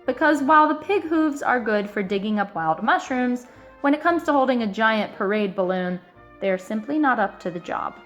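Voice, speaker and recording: A woman reading a children's picture book aloud in an expressive, emphatic way, stretching out key words. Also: a little high, moderate pace, lo-fi sound, faint music behind. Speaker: storybook reader.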